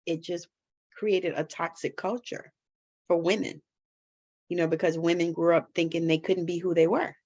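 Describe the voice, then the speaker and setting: A woman host with a fairly low voice guides the interview, affirming her guest and asking reflective questions. Her audio sounds slightly muffled and lo-fi. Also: little pitch movement, moderate pace, a little mellow. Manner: affirming, frequent laughs and "mm-hmm". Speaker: podcast host. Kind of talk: interview conversation.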